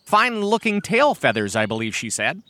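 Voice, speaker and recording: A man's voice: a little high, wildly swooping pitch, normal speed, pushed and bright, slight background noise, pitched above the performer's own voice, heavily acted. A man voicing a protective, exasperated male turkey in an animal story, urgent and scolding. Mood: coaxing, sly. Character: protective, exasperated male turkey, wary older-brother type.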